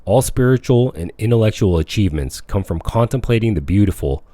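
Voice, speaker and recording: A man reading a self-help audiobook as scripted narration, with measured, declamatory phrasing. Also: lowish voice, expressive, dark tone, no echo. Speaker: audiobook reader.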